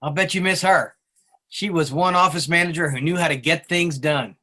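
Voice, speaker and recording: Man acting a bright-voiced older businessman. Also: fairly high pitch, normal speed. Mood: friendly. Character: friendly older businessman, grandfather and longtime bank customer. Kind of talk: musical theatre table read.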